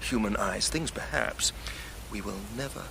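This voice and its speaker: An actor playing an earnest, anxious, imaginative gentleman in a period radio drama, over a noisy background. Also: lowish voice, moderate pace, vocal fry. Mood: awed, wistful. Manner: hesitant, thoughtful, earnest.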